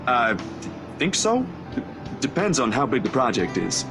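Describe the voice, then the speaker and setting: A man's voice: medium pitch, big pitch swings, normal speed. An actor playing an awkward, polite male photographer who stammers and hesitates, in visual novel game dialogue. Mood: hesitant, awkward. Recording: music behind the voice.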